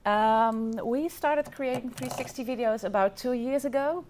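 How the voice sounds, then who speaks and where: A woman's voice: fairly high pitch, unhurried, a little mellow, a little hiss or hum. Woman from the Netherlands, polite and hesitant. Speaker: guest speaker, VR videographer. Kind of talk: conference talk introduction.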